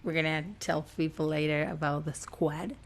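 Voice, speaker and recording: A woman's voice: low voice, flat delivery, moderate pace. A woman from Argentina with an Argentine Spanish accent, chatting playfully as a podcast guest and laughing often. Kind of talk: casual chat.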